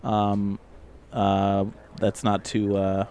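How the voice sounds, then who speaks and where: A man improvising casually as a role-playing game player, rambling and halting as he talks. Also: lowish voice, completely flat delivery, darkish tone. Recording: faint background noise.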